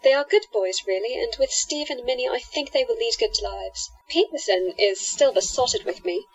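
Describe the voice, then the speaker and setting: Woman playing a high-voiced playful schemer. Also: moderate pace, slightly rough. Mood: cool, tender. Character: coolly amoral, playful woman, the hypnotist's lover. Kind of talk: radio drama acting. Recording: dull lo-fi audio.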